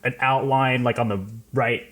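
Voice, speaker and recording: A man's voice: mid-range voice, steady tone, normal speed, a bit bright. A man hosting a podcast, explaining things casually in loose, filler-heavy phrasing.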